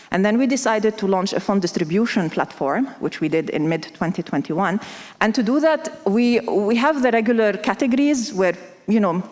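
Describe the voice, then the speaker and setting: A woman's voice: medium pitch, darkish tone. A woman from Lebanon giving a conference talk in a conversational way, talking straight to the audience. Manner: conversational, uses analogies, addresses audience. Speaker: conference speaker. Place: conference hall. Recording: reverberant hall.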